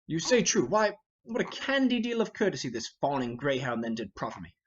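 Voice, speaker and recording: A man's voice: fairly high pitch, brisk, husky, dull audio. A man playing a hot-headed, impatient young nobleman, ranting in a declamatory Shakespearean stage reading. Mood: agitated, scornful. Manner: impetuous, declamatory. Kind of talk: dramatic reading of a Shakespeare play.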